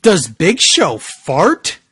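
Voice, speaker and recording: Husky, excitable man bantering on a podcast. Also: on the high side, very slow, no room sound, clean studio sound. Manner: giggly, incredulous, excitable. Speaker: podcast co-host. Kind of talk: casual comic banter and reading aloud.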